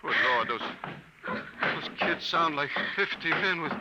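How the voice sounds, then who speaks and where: A man's voice: fairly high pitch, lively intonation, a little slow, lo-fi sound. A man playing a sensible suburban American father in a 1950s radio drama, with an emphatic, exasperated, theatrical delivery. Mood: alarmed, exasperated. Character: sensible, world-weary suburban father, increasingly baffled. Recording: very noisy old radio recording.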